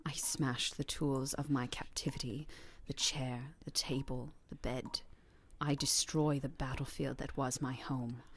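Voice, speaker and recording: Radio drama: tormented, vengeful female captive, breathy whisper with fry, faint noise behind. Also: very deep voice, steady tone, unhurried, hardly any pauses. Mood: haunted, defiant. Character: wounded, vengeful Ophelia, a tormented captive woman. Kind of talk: radio play monologue.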